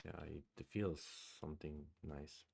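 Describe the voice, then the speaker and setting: A man's voice: rumbling low, unhurried, background noise. A man with a Swedish accent streams his go game, thinking aloud in a hesitant, musing way. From Sweden. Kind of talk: live-streamed game commentary.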